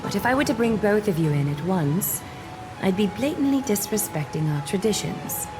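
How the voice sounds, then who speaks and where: A woman's voice: mid-range voice, lively intonation, lightly acted. A woman as a composed, noble leader over music. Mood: formal, courteous. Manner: formal, measured, gently authoritative. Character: composed, noble female leader, courteous and quietly commanding. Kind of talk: fantasy game voice acting.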